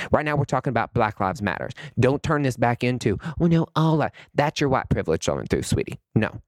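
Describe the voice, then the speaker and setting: A man's voice: mid-range voice, no room sound, clean studio sound. Sassy man ranting to camera. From American South. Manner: sassy and emphatic, calls people honey and sweetie. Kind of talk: impassioned direct-to-camera rant.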